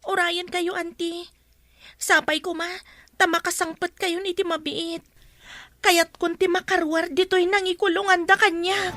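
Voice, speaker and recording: A woman's voice: extremely high pitch, little pitch movement, measured pace, pushed and bright, heavily acted. A woman playing a frightened but obedient young captive niece in a radio drama, pleading and emotive. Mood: anxious, obedient. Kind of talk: Ilocano radio drama acting.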